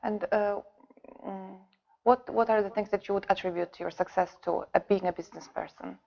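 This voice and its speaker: A woman interviewer with a mid-pitched, gravelly voice asks questions in a halting way, full of fillers. She sits in a studio, and the sound is slightly dull and lo-fi. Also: steady tone, a little mellow. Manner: hesitant, many fillers, halting phrasing. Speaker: interview host.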